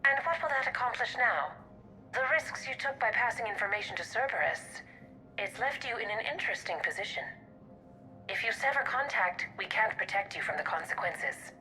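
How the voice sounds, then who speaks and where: A woman's voice: fairly high pitch, animated, brisk, pushed and bright, faint music behind, low-quality recording. An actress playing a cool female covert operative who makes polished, veiled threats in a game cutscene. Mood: coolly menacing. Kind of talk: video game cutscene acting.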